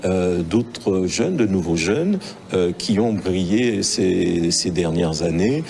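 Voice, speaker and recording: A man's voice: deep voice, animated, slow, gravelly, a little mellow. A man speaking as a local official, emphatic and repeating his phrases. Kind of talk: formal speech to press.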